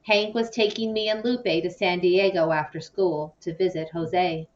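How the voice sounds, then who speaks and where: A woman reading a children's novel aloud in an expressive, storytelling way, acting out the dialogue. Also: lowish voice, a little slow. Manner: animated, acts out dialogue. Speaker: audiobook-style reader. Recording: distant, muffled microphone.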